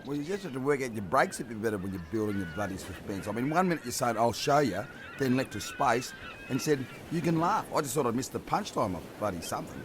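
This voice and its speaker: Man playing animated grumbling castaway. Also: mid-range voice, fast talker, lightly acted. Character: grumbling, nitpicking male castaway. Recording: noisy ambience with bird honks.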